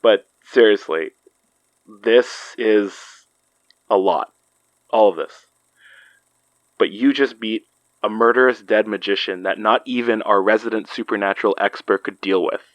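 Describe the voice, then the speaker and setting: A low male voice, played by an actor as a steady, caring investigator in an audio drama. He talks very slowly, with a gently teasing manner. The sound is dry. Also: dry recording, highs cut off, lightly acted. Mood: concerned. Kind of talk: naturalistic audio drama acting.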